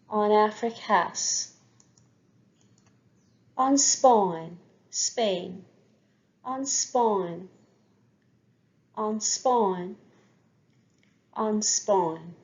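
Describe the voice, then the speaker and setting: A woman teaching an Irish language lesson, saying each word several times for learners to repeat. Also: medium pitch. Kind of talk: language lesson with repetition drills. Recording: dull lo-fi recording.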